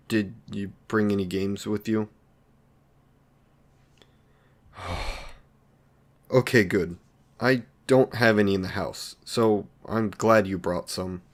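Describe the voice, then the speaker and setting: A man playing an awkward, lonely, considerate villain in an intimate roleplay drama, speaking in a hesitant, apologetic, polite way. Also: on the low side. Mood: tentative, curious.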